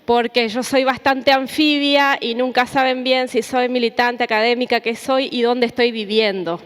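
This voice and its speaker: A woman's voice: a little high, fairly even intonation, normal speed, clean tone. A woman gives an informal, reflective public talk, telling stories conversationally and checking in with tag questions. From Argentina or Paraguay border region. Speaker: academic, activist and book contributor presenting at a book launch. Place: indoor hall at a public book presentation. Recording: reverberant hall.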